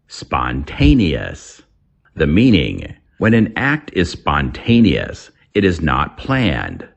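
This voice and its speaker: A man with a very low voice and gravelly fry teaches English from a script. He speaks deliberately and repeats key phrases, with very animated intonation. His delivery is slow and measured. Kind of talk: scripted lesson narration, reading vocabulary and quiz items.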